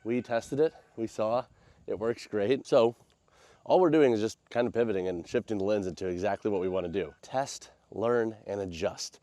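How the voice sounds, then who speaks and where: Male American vlogger, lowish congested nasal voice, loose slangy chat, dry close sound. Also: moderate pace, warm tone, no echo. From United States. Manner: informal, slangy, rambling. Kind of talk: casual vlog chat.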